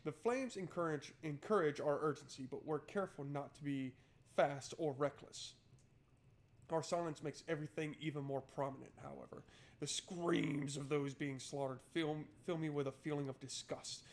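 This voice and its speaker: A man's voice: a little high, moderate pace. A man streaming a game, switching between reading narration aloud and casual, irreverent, sweary joking commentary.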